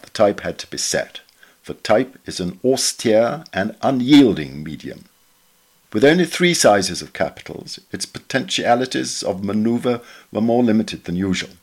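Low-voiced man reading with lively intonation. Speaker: reader of a magazine article. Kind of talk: scripted narration, reading a memoir essay aloud.

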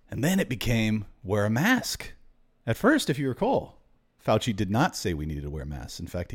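A man with a somewhat raspy voice talks to his listeners as host of his own show. He rambles loosely from point to point, filling the gaps with ums and quick restarts. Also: on the low side. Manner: rambling, freewheeling rant with fillers. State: slightly raspy voice. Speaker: podcast host. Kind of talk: casual political monologue.

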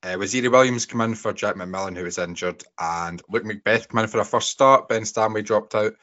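A man's voice: lowish voice, a little fast, bright, forceful tone. A man hosting a casual football podcast, putting friendly questions to his panel. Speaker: podcast host. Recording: dull lo-fi podcast audio.

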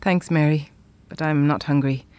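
A woman's voice: sits low, fairly even intonation, moderate pace, mellow, no echo, close to an everyday voice. An actress playing a frank, playful, independent woman in a naturalistic audio drama. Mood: sad, wry.

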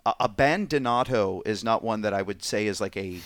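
A man's voice: on the low side, fairly bright tone, no room sound. A man hosting a sports podcast, asking questions in a friendly, conversational way. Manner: friendly, conversational, quick acknowledgments. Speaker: podcast host. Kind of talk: podcast interview, reads sponsor ads.

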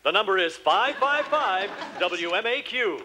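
An actor playing a brisk, upbeat radio contest announcer calling out a giveaway. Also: male voice, high-pitched, lively intonation, pushed and bright, a little hiss or hum, heavily acted.

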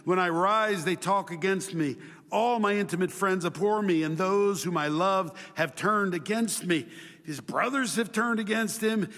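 A man's voice: high voice, fairly bright tone. A man from Chile preaching a sermon, mixing scripture with personal stories and repeating phrases for emphasis. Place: large hall, speaking live to a congregation. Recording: reverberant large hall.

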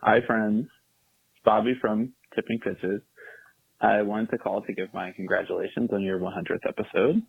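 A man's voice: fairly low pitch, fairly even intonation, normal speed, vocal fry, slightly rough, no echo. A man leaving a voicemail for the show, dry-humored and friendly. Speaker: caller from a fellow podcast. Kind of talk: voicemail greeting. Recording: phone-line voicemail.

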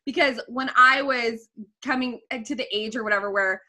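A woman's voice: on the high side, normal speed. A woman in her twenties hosting a podcast, chatting casually, swearing freely and laughing often as she tells stories. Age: 20s. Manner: swears freely, laughs a lot, animated storyteller. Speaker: podcast host.

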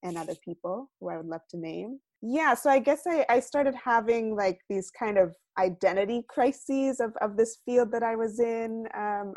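A young woman from the United States, a guest artist, talking reflectively in an interview and often trailing off quietly. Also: mid-range voice, no room sound. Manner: thoughtful, many fillers and restarts, trailing off quietly.

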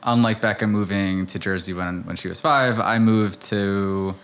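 Lowish-voiced American man, casually reminiscing. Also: moderate pace, a bit bright. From suburbs of Philadelphia, United States. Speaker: podcast guest, travel content producer. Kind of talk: casual conversational storytelling.

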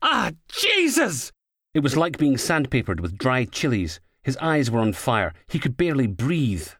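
A man's voice: medium pitch, very animated, normal speed, no echo, studio quality. A Scottish man reading a scripted crime novel as its narrator. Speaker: author reading own audiobook.

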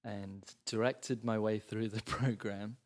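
A man's voice: lowish voice, steady tone, slow. Thoughtful man raised in Italy. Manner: thoughtful, hedging with "you know" and "like". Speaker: guest screenwriter. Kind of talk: casual interview chat.

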